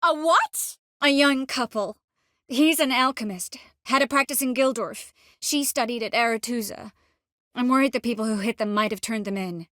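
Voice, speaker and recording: An actress playing a determined, warm young sorceress in a fantasy video game, speaking earnestly and expressively with a gently teasing manner. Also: female voice, a little high, normal speed, dry recording, clean studio sound. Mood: concerned, questioning. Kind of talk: video game dialogue acting.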